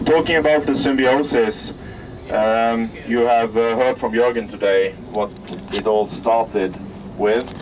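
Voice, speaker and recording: A man from Norway with a Norwegian accent, guiding visitors around an industrial site and pausing often to search for English words. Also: medium pitch, steady tone, highs cut off. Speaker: tour guide at an industrial plant. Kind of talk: guided tour commentary. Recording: distant voice under loud outdoor traffic noise.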